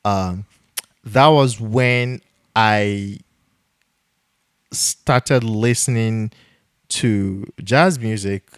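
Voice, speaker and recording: Animated man from Nigeria, dry close mic. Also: lowish voice, very slow, long pauses, clear, resonant tone, no echo. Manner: animated and storytelling, with laughs and restarts. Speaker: jazz podcast host. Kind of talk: casual conversation.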